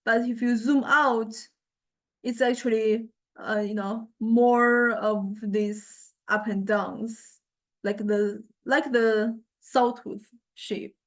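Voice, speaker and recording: Female lecturer, slightly high voice, informal software demo, tentative delivery with pauses to find words. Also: fairly even intonation. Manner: hesitant, thinking aloud, searching for words. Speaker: music technology lecturer.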